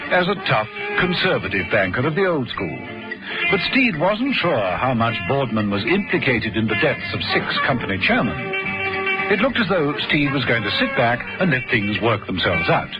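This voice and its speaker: A male narrator tells a radio drama serial with measured delivery. His mid-pitched voice moves expressively, and music plays loudly behind him. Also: very animated, poor audio.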